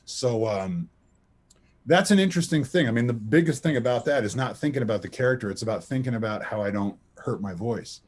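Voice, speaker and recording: A middle-aged man with a strong, powerful voice, talking in a relaxed interview. He tells his stories with plenty of life and slips into quick impressions of the people in them. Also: medium pitch. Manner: animated storyteller, acts out quotes. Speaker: voice actor guest. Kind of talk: casual interview chat, storytelling.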